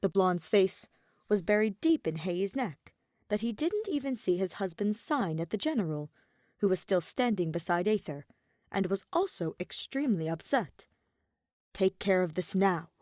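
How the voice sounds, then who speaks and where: A woman reading scripted fiction as an expressive story narrator. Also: mid-range voice, moderate pace, no echo, studio quality. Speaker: podfic narrator.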